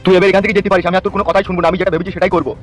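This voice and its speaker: Foul-mouthed avenger husband for cartoon male performer, raised pitch, rapid-fire, music bed. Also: flat delivery, natural voice. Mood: scornful, defiant. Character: vengeful, foul-mouthed, hard-hearted wronged husband in a cartoon. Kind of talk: amateur Bengali cartoon melodrama. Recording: music under the voice.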